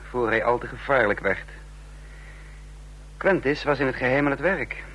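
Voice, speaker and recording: A man's voice: mid-range voice, a bit bright, slight background noise, close to an everyday voice, low-quality recording. A man acting in a Dutch radio play as a self-assured intelligence chief, dry and matter-of-fact. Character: self-assured, dry intelligence chief narrating his own spy story.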